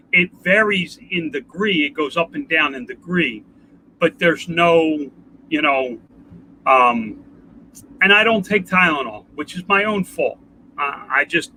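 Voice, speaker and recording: Older man, bright and congested. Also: fairly high pitch, a little hiss or hum, rough recording. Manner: rambling, halting with restarts. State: congested, nasal. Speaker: vlogger. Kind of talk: casual home video update. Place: outdoors.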